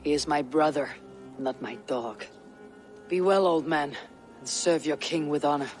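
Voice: medium pitch, a little slow, soft background music, lightly acted. A man playing a stoic, loyal young Viking warrior, guarded and earnest, in a dramatic video game cutscene. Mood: terse, hurt.

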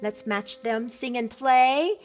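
A woman music teacher with a high voice talks through a keyboard lesson at a very slow, patient pace. She sings solfege syllables and song phrases between her spoken instructions, with a keyboard clearly playing behind her.

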